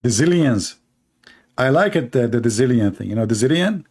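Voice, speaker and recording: A man speaks in a mid-range, gravelly voice with lots of vocal fry. He hosts a commentary show, ridiculing clips in a sarcastic, rambling manner, stopping often for fillers. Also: mid-range voice, expressive, moderate pace, a little mellow. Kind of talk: mocking commentary on video clips.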